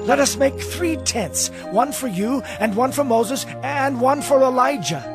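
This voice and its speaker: An eager, flustered male disciple speaks at a very high pitch. He sounds earnest and awestruck in a scripture audio drama, with music clearly underneath. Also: expressive, a little slow, exaggerated voice. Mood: awed, eager. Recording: dramatic music bed behind the voice.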